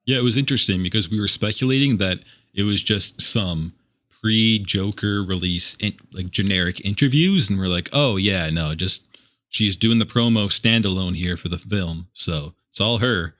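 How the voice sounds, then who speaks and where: Podcast host guy, lowish voice, dry close sound, reads the intro upbeat. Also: moderate pace, fairly bright tone, dry recording. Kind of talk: scripted podcast intro and casual chat.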